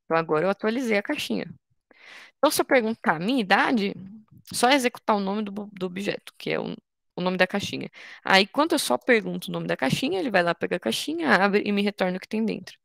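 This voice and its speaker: A woman's voice: mid-range voice, lively intonation, fast, vocal fry, a bit bright, no echo. A woman in her twenties from Brazil, teaching an online coding workshop in a patient, informal way, explaining step by step with frequent restarts. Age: 20s. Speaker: workshop instructor.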